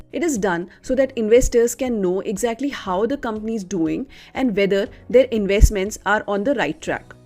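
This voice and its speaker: A woman's voice: medium pitch, a little mellow. A woman reading a scripted educational narration as the narrator of an explainer video. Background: background music.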